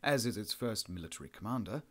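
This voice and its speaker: A man's voice: fairly low pitch, lively intonation, fast, breathy edge. A man voicing an amiable, pompous late middle-aged governor with a posh Southern English accent in an audiobook. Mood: amiable, formal.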